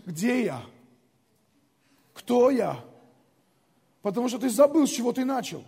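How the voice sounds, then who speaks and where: A man's voice: extremely high pitch, darkish tone, husky, big-room sound. A man preaching a sermon in a conversational way, repeating phrases and calling the congregation to respond. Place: large church hall.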